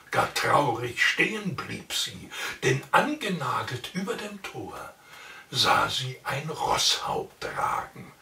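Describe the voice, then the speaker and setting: An older man reading a long poem aloud in a theatrical, expressive way, indoors in front of the camera. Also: medium pitch, gravelly, echoey room, distant mic. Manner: expressive, theatrical, varies loud and soft. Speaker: reader of a poem. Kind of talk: slow dramatic poetry reading. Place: indoor room, plain wall.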